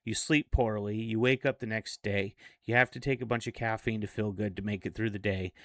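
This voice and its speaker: A man of forty speaking in a casual, reflective vlog monologue, earnest and a little halting. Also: on the low side, steady tone, no room sound, clean studio sound. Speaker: self-improvement vlogger.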